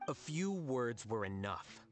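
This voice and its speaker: An actor playing an aloof, rational scholar in a video game, speaking in a deliberate, detached and articulate way over music. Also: male voice, medium pitch, sing-song. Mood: cool, analytical. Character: aloof, blunt, coolly rational male scholar. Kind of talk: measured video game voice acting.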